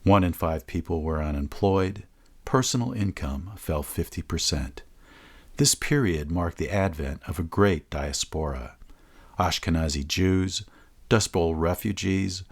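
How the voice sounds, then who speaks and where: Deep-voiced male documentary narrator. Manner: measured and formal.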